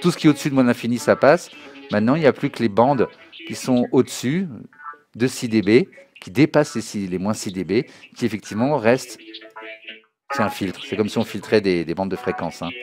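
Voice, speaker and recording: A man giving an informal spoken software tutorial in a didactic way, often checking that the listener follows. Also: lowish voice, fairly quick, long pauses. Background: synthesizer and music demo sounds.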